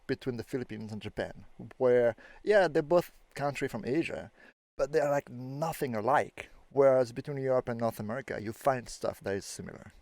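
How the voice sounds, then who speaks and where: A man's voice: mid-range voice, a little mellow, dry recording. A man in his thirties from France with a French accent, chatting casually as host, rambling with lots of fillers and the odd swear. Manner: rambling, filler-heavy, swears when surprised. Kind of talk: casual chat.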